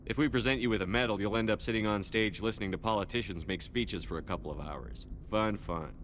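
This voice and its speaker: A man acting a steady, approachable military commander in a science fiction video game, direct and composed. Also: lowish voice, quick pace, slight background noise, close to an everyday voice. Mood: composed, friendly.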